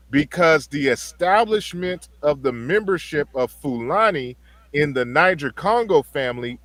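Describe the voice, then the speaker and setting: A man giving a measured live-stream talk on language classification, reading quotes aloud and often restarting phrases. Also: mid-range voice, dry recording. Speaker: guest lecturer on African languages.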